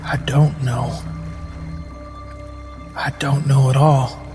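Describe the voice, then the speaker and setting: A man's voice: mid-range voice, little pitch movement, takes it slowly, music in the background, close to an everyday voice. A man playing an exhausted male adventurer in a tabletop game, worn down and defiant, fed up with not knowing. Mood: weary, defiant.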